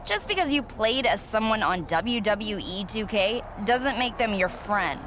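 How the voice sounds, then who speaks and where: A woman's voice: mid-range voice, expressive, a little slow, noisy background, lightly acted. An actress playing a scornful, cocky female wrestling rival in a video game cutscene, with a sarcastic, mocking delivery. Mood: dismissive, sarcastic.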